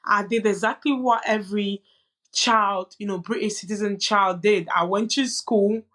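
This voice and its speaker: A woman's voice: medium pitch, steady tone, normal speed, fairly bright tone. Earnest young woman, French native speaker. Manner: earnest, many restarts and "you know" asides. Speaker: guest, boxer. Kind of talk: candid conversational storytelling.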